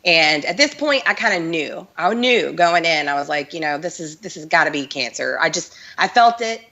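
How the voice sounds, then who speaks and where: A woman in her thirties with a Texan accent, hoarse from the flu, talking casually with many fillers as a guest on a video call. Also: deep voice, lively intonation, normal speed, bright, forceful tone, highs cut off. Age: 30s. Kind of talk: casual remote interview.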